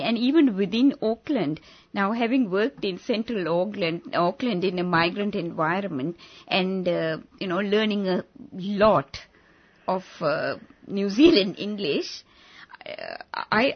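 Husky-voiced woman hosting a radio chat. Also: mid-range voice, animated, a little slow. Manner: hesitant, with many "uh" fillers. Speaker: radio host. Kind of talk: radio talk-show conversation. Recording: dull lo-fi radio recording.

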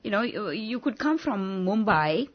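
A woman hosting a radio talk show, who speaks hesitantly and often pauses on "uh". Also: mid-range voice, animated, slight background noise. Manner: hesitant, with many "uh" fillers. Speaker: radio host. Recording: dull lo-fi radio recording.

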